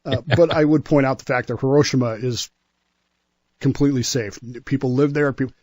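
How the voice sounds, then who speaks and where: A man's voice: mid-range voice, fairly even intonation, a little fast, darkish tone, dry recording, highs cut off. Man explaining history, very dry close sound. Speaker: radio show guest historian; former nuclear submarine serviceman.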